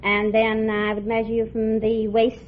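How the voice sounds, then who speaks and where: A woman's voice: a little high, completely flat delivery, normal speed. A woman contestant answering a quiz host's questions on air in a polite, matter-of-fact way. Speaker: quiz show contestant, dress shop owner. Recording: tinny old radio broadcast, faint noise.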